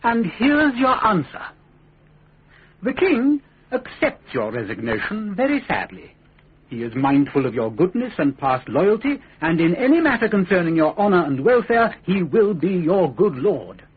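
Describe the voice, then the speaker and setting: A proud, commanding nobleman in a radio drama. His voice is very raspy and lively in pitch, with brusque, plain speech. It sounds squeezed through a narrow phone-like band. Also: a little slow, full character voice. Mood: stiff, formal. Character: blunt, proud English duke, exasperated old friend.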